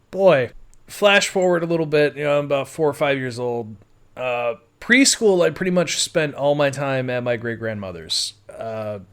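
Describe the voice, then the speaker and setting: Rambling American man, slightly high voice. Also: a bit bright, smooth. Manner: rambling, foul-mouthed. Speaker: podcast host. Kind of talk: casual autobiographical storytelling.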